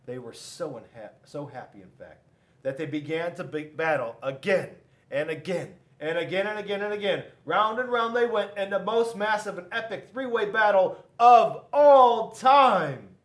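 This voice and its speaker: A man reading a children's storybook aloud in an animated style, with dramatic emphasis and drawn-out words. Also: high voice. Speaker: police school resource officer reading to children.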